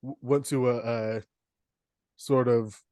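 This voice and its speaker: Mid-pitched man, dry close podcast sound. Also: steady tone, slow, warm tone, dry recording. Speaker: podcast co-host. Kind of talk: casual chat, discussing films.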